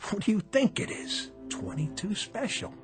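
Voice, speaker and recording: A man's voice: sits high, expressive, normal speed, over music. An actor playing a menacing, mocking gangster boss with streetwise tough-guy talk in a radio drama. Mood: coldly menacing. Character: menacing, sneering male gangster boss bullying his underling.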